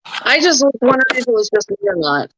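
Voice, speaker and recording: A woman's voice: a little high, very animated, quick pace, noisy background. A woman chatting casually as a player in a tabletop game, talking on a phone over an online call. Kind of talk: casual chat.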